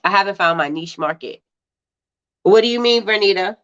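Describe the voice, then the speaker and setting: Woman livestream host, stuffy nose, chatty and laughing, mid-pitched, slightly muffled audio. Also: moderate pace, fairly bright tone. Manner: chatty, laughs often, repeats herself. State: stuffy and congested. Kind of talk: casual live-stream chat reading viewer comments.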